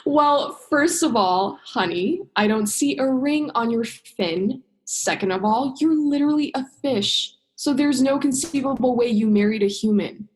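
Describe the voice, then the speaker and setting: Give the radio play a young female scientist, played by a woman, bitter and sarcastic. Her voice is fairly high, and she drags out stressed words with sardonic emphasis. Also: measured pace, few pauses, a little mellow, natural voice, low-quality recording. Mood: mocking. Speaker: drama student acting. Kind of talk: radio play monologue and dialogue.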